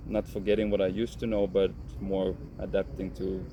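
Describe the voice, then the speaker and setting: A man in his thirties answers interview questions, his low voice gravelly and fairly flat. He speaks calmly and frankly, often restarting as he thinks. The recording carries clear background noise. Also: normal speed, muffled recording. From Finland. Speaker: professional footballer. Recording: noisy background.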